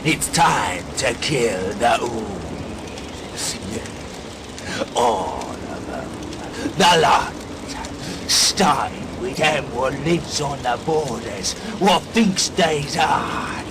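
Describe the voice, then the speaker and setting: An actor playing a brutish, boastful orc warboss in a fantasy game, with crude grammar and bellowed battle cries. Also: male voice, mid-range voice, sing-song, drawn-out words, gravelly, heavy background noise, heavily acted. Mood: commanding, menacing. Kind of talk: fantasy game cutscene acting.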